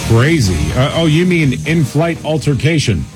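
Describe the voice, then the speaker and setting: A man's voice: on the high side, very animated, normal speed, darkish tone, soft background music. A man co-hosting a talk radio show, recapping news in a loose, joking way. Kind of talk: casual talk radio chat.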